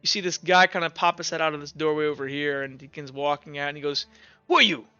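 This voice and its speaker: A man's voice: a little high, little pitch movement, fast, pushed and bright, smooth, dry recording, highs cut off, soft background music. A man improvising narration as game master of a tabletop game.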